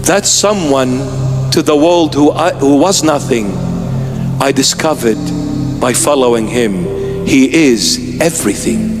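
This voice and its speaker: A man's voice: mid-range voice, slow. A bishop in his fifties preaching an earnest, emphatic sermon with repeated phrases. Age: 50s. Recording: long hall reverb with music behind.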